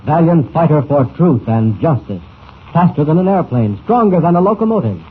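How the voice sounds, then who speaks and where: A man's voice: fairly high pitch, narrow, tinny audio. An American man announcing a radio serial in dramatic 1940s style, theatrical and emphatic, on a scratchy old recording.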